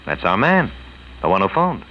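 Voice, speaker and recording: A man's voice: low-pitched, wildly swooping pitch, normal speed. A man playing a stern, commanding police detective, terse and authoritative, in a 1940s radio drama. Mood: musing. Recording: muffled old radio recording, faint noise.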